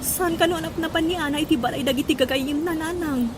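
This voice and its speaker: A woman's voice: high voice, fast talker, background noise, natural voice. A woman with an Ilocano accent playing a harsh, emotional mother in a radio drama. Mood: worried. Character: harsh, scolding mother of a schoolgirl, later frantic with worry. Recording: music under the voice.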